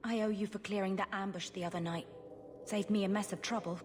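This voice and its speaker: A woman's voice: mid-range voice, steady tone, brisk, darkish tone, natural voice. Actress as grateful female fantasy guard. Matter-of-fact, friendly, mid register, slight ambient noise. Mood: grateful, plain. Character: grateful, plain-spoken female city guard.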